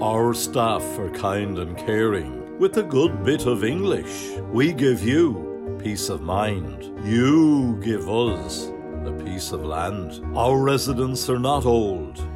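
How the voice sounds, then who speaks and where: Slow, low advert announcer. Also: male voice, lively intonation. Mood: soothing, deadpan. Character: soothing, deadpan male nursing home advert announcer. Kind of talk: parody radio advert. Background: guitar music.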